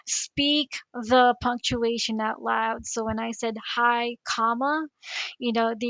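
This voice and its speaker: A woman's voice: a little high, gravelly, fairly bright tone, no echo, poor audio. A woman with a Filipino accent walking teachers through a screen-recorded tutorial, chatty and step by step. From Philippines. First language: Tagalog. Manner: chatty, explains step by step while clicking. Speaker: teacher coach.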